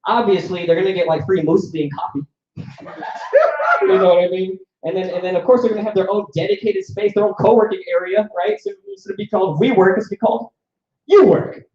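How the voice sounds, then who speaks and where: A man's voice: sits high, expressive, fairly quick, mellow. Male stand-up, loose delivery, lo-fi muffled room. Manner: loose, filler-heavy delivery. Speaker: stand-up comedian. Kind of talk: stand-up set. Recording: echoey, dull, noisy room sound.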